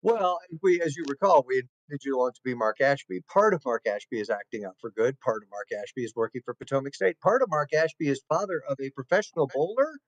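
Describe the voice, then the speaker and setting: A man's voice: mid-range voice, expressive, fast, hardly any pauses, dry recording, low-quality recording. A man hosting an interview, asking questions in an effusive, complimentary way. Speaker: show host, interviewer.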